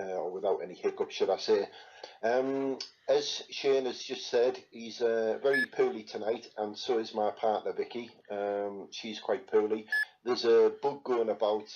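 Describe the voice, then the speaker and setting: A man's voice: on the low side, muffled recording. A man hosting a live question-and-answer stream as a spirit medium, chatting casually with lots of fillers. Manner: relaxed, chatty, many fillers.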